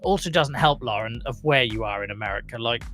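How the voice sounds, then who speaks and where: A young man with a croaky voice hosting a live chronic pain talk show, chatting casually to his viewers with many fillers and laughs. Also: mid-range voice, fairly quick, a bit bright.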